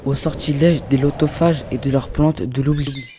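A man's voice: mid-range voice, steady tone, moderate pace, noisy background. A man reading scripted narration of an adventure story as narrator.

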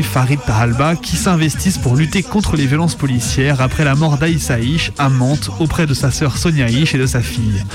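A man reading scripted narration as a radio host. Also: fairly high pitch. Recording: music behind the voice. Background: music bed.

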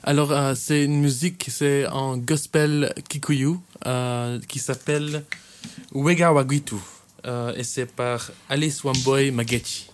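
A man's voice: mid-range voice, steady tone, slow, slight background noise. A man in his twenties who grew up in Kenya and works as a youth worker, answering interview questions in a hesitant way, with frequent fillers and restarts. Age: 20s.

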